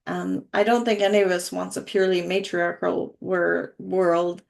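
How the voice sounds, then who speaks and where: A middle-aged woman, a wellness coach, speaking earnestly and softly as she introduces and reads a short story aloud. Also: lowish voice, little pitch movement, normal speed, gravelly. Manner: earnest, soft-spoken, with small laughs. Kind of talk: casual intro then story reading.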